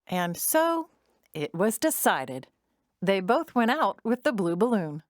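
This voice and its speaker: A woman narrating a children's storybook drama in an expressive, deliberate storytelling manner. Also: medium pitch, background noise.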